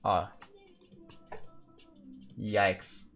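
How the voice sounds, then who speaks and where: Guy streaming and building a level, bright voice, keeps saying like and restarting. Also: on the low side, flat delivery, drawn-out words, soft background music. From Indonesia. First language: Indonesian. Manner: rambling, full of "like" and restarts, talking to chat. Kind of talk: live-stream chat while building a game level.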